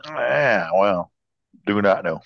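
A man with a fairly low voice banters casually as a podcast co-host, riffing and guessing playfully. The recording is dry and a little dull. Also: animated, slow pace, vocal fry, no echo. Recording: slightly dull, lo-fi sound.

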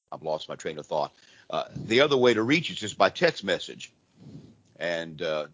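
A hoarse man with laryngitis hosts a live call-in radio show, talking in a casual, rambling way with frequent restarts. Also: low voice, a bit bright. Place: church. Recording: dull lo-fi radio audio with faint noise.

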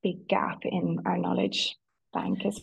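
An Irish woman from Ireland, a guest on an interview podcast, speaking in a reflective, softly hesitant way. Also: lowish voice, little pitch movement, takes it slowly, vocal fry, slightly rough, low-quality recording. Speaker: guest, physiotherapist and PhD student.

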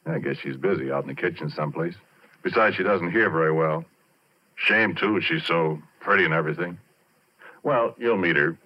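An actor playing a retired, middle-aged oil-field roughneck, telling his story in colloquial, conversational 1940s radio drama style. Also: low voice, normal speed. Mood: folksy, chatty. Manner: colloquial, conversational, addressing the listener directly. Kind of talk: 1940s radio drama first-person narration. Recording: muffled vintage 1940s radio recording.

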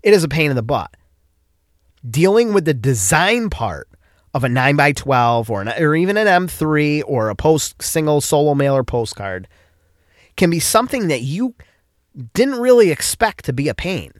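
A man's voice: on the high side, sing-song, moderate pace, no echo, clean studio sound. A man with a stuffed-up nose from a cold, hosting a casual solo podcast and rambling with frequent restarts. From upstate New York. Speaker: podcast host.

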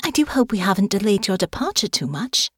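A woman's voice: mid-range voice, very animated, a little fast, fairly bright tone, breathy edge, no room sound, studio quality. A woman voicing a poised young noblewoman who speaks with refined, courteous diction. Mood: gracious. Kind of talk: audiobook character voice.

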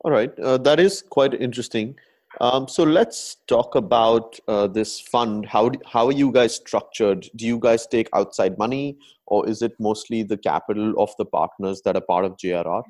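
A man hosting a podcast, asking interview questions in a relaxed, conversational way. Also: fairly low pitch, moderate pace. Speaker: podcast host.

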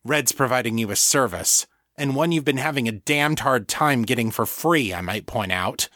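A man's voice: mid-range voice, expressive, moderate pace, bright, forceful tone, no room sound. A reasonable male peacemaker as a man narrating puts him on in an audiobook story. He speaks firmly and pleadingly, like a protective friend, recorded very dry.

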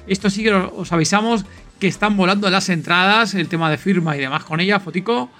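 A man's voice: high-pitched, fairly quick, pushed and bright, soft background music. A man with an accent from Spain hosting a podcast in an informal, enthusiastic, chatty way. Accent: Spanish from Spain. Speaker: podcast host. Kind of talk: casual podcast announcements and chat.

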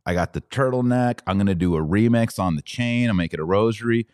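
A man's voice: fairly low pitch, fairly quick, darkish tone, no echo, studio quality. A middle-aged American man hosting a podcast, giving opinionated religious commentary in an informal, slangy, mocking and incredulous way.

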